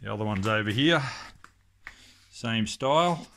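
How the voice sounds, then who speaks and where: Man, middle-aged, lowish bright voice, relaxed rambling garage commentary. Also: unhurried, a little hiss or hum. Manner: relaxed, rambling, thinking aloud with many ums. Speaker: car restorer. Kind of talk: casual workshop commentary. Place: home garage workshop, indoors.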